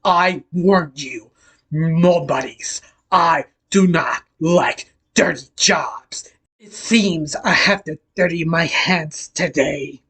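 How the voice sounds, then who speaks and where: Male pig crime boss, voiced by a narrator, menacing, drawn-out delivery, grandiose, cruel, story villain. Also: mid-range voice, breathy edge. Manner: slow, drawn-out, grandiose threats.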